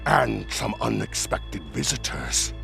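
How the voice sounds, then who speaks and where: A man playing a menacing, theatrical goblin warlord in a video game, with a snarling voice and a slow, mocking delivery. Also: fairly low pitch, huge pitch swings, a bit bright, soft background music, exaggerated voice. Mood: gloating mockery. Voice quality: gravelly, snarling. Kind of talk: video game villain acting.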